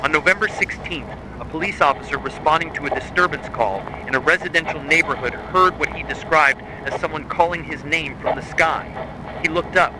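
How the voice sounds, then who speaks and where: A man reading a scripted horror narration styled as an official emergency bulletin, in a grave, measured, authoritative way. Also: fairly high pitch, noisy background. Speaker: narrator of a mock emergency broadcast.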